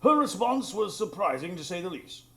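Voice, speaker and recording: A formal gentleman storyteller for radio drama. His voice sits a bit high and swings widely, with a gravelly creak under the dry, exasperated manner. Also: sing-song, normal speed, heavily acted. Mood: wry narration. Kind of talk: radio drama narration and dialogue.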